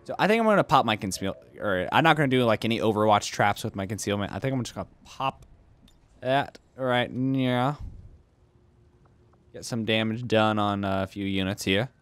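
A man's voice: fairly low pitch, expressive, normal speed, fairly bright tone, dry recording. A young man commenting casually on a strategy game he is playing, joking around and arguing with himself. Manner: goofy, argues with himself in silly voices. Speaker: let's play host.

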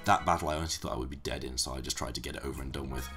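A young man, hoarse from being ill, giving rambling, casual commentary on a game he is playing. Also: very deep voice, steady tone, racing, bright, forceful tone, soft background music. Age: young adult. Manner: rambling, self-correcting explanations. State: hoarse from illness. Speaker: let's play commentator.

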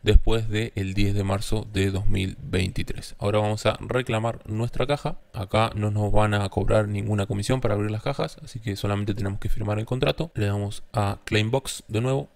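A man's voice: fairly low pitch, steady tone, normal speed, vocal fry, hardly any pauses, a little mellow. A man narrating a step-by-step screen tutorial as its host, often checking in with a short questioning tag.

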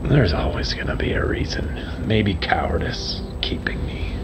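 An actor playing a weary, resigned male radio host who talks haltingly and broodingly in an audio drama. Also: sits low, animated, vocal fry, whispered, exaggerated voice. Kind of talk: audio drama monologue as a live radio broadcast. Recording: dull band-limited broadcast sound under music.

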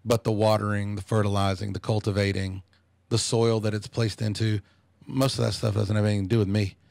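A man in his fifties speaks in a lowish, gravelly voice, measured and thoughtful, restarting often. He talks into a studio boom mic in a small podcast studio, and the sound is close and dry. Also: little pitch movement, darkish tone, dry recording. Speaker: podcast guest. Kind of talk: reflective podcast talk. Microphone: studio microphone on a boom arm.

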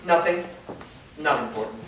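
A man's voice: fairly high pitch, expressive, moderate pace, very echoey, natural voice. Male actor as cautious old father, placating, sly, stage reading, distant mic, noisy room. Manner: probing, placating. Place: indoor performance room, seated before an audience. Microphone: far camera.

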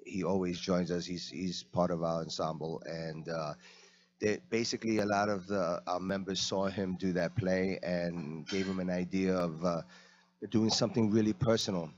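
A man's voice: low voice, steady tone, moderate pace. A man from the Bronx, an actor guest talking casually and hesitantly, with many ums, into a handheld mic. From the Bronx, New York. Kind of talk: casual interview talk. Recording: dull lo-fi sound.

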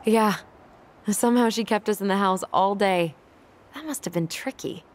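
A woman's voice: medium pitch, moderate pace, close to an everyday voice. An actress playing a dry, guarded, teasing young American woman in naturalistic video game dialogue. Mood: reminiscing. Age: young adult.

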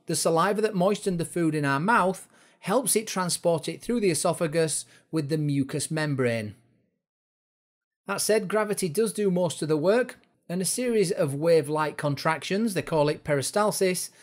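Guy with a slightly high voice doing an instructor explainer, informal, step by step. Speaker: fitness instructor presenting a course. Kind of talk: explanatory educational narration.

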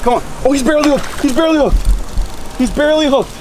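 A young man hosting a fishing channel, chatting casually to viewers outdoors and getting excited as he fishes. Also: very high voice, a little fast, noisy background. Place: outdoors by a lake and a pool.

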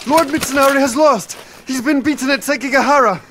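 An urgent army messenger from a video game. His breathless, shouted delivery is very high in pitch, with clear battlefield noise behind. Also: brisk. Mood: alarmed, urgent. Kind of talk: dramatic video game acting.

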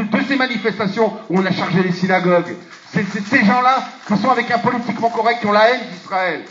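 Emphatic man on a handheld mic in an echoey hall. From France. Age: middle-aged. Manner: emphatic, rhetorical questions, frequent restarts, swings between low and loud. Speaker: parliamentarian speaker on a panel. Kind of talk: impassioned public speech. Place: conference hall with audience. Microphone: handheld microphone. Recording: echoey hall, dull lo-fi sound.

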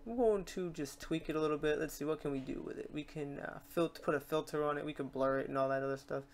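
A man hosting a software tutorial in a casual, chatty way, talking through each step aloud. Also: fairly high pitch, steady tone, fast talker, a little hiss or hum. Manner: informal, chatty, talks through steps aloud. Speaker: tutorial host.

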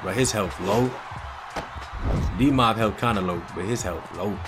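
A man's voice: on the low side, unhurried. A young man giving casual, slangy reaction commentary to his viewers as a gaming video host. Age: young adult. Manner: slangy, conversational, addressing chat. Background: music.

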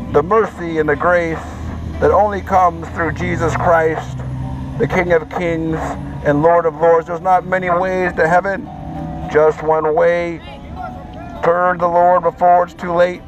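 A man's voice: a little high, poor audio. Man, street preacher, repetitive exhorting cadence, outdoor sidewalk, music in background. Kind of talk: open-air street preaching. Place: city sidewalk at night outside a theme park.

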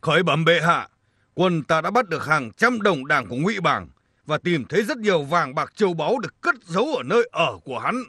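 A man playing a loyal, forceful army general in a historical cartoon, with formal, declamatory speech. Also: a little high, big pitch swings, brisk, breathy edge, dry recording, studio quality, heavily acted. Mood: reporting.

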